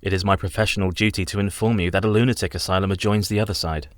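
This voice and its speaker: A man plays a polite young solicitor in a radio drama. The voice sits a little low, with careful, formal diction and an earnest manner. The recording is dry and close. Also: fairly even intonation, very fast, no room sound, lightly acted. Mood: polite, businesslike. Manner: formal, precise, courteous. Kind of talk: radio play acting.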